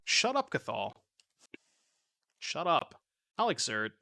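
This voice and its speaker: Bright-voiced joking male streamer. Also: on the high side, wildly swooping pitch, slow, creaky. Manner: joking, chatty, answers chat while playing. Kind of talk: casual gameplay commentary reading chat. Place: home streaming room. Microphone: desk mic with webcam.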